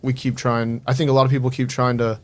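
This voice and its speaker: A man's voice: mid-range voice, fast talker, a little hiss or hum. Easygoing podcast host man, twenty-eight. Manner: thoughtful, steady, with many ums and I means. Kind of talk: casual podcast chat.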